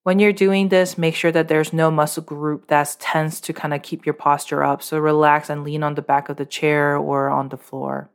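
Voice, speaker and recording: Low-voiced female meditation guide, calm and unscripted, easy repeated cues. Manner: calm, unscripted guidance with fillers.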